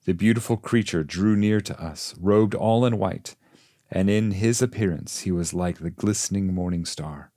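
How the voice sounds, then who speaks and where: A man reading a classic poem as literary audiobook narration, in a measured, solemn manner. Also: low-pitched, mellow, no echo, clean studio sound. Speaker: translator and reader of a classic poem.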